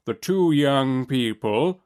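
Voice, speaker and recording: A man voicing a grand, deliberate elderly judge in an audiobook, with drawn-out, over-distinct and hesitant delivery. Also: medium pitch, expressive, darkish tone, clean tone, voice pushed up. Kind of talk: audiobook character acting.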